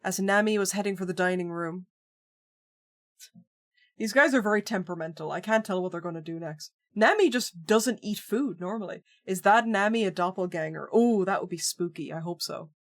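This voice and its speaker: A woman's voice: mid-range voice, normal speed, no room sound, studio quality. A woman streamer narrating a visual novel and chatting aloud between lines. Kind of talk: game stream commentary and narration.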